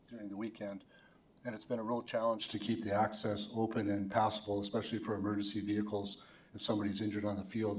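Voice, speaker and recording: Man, low monotone voice, steady plain report, echoey council chamber. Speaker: ballpark association representative giving testimony. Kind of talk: public comment at a council meeting.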